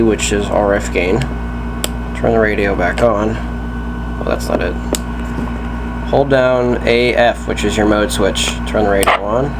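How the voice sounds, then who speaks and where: A man casually demonstrating a CB radio, explaining slowly with pauses and restarts. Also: on the low side. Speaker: radio reviewer. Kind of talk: casual product demo. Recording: loud background noise.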